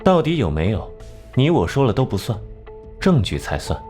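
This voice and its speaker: Crime animation detective, played by a man: analytical, sardonic, measured, with very mobile intonation over a light music bed. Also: mid-range voice, big pitch swings, darkish tone, lightly acted. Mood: dry, sceptical. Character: cool, sardonic male genius detective. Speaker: detective laying out a case. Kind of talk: animated crime drama acting, deductive exposition. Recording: music behind.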